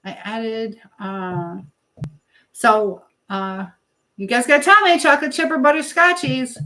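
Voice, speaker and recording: A woman's voice: on the high side, a little slow, pushed and bright, poor audio. A middle-aged American woman chatting casually as a livestream host, reading and answering comments with an encouraging manner, hopping from one thought to the next. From Michigan, United States. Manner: chatty, jumps between topics mid-thought.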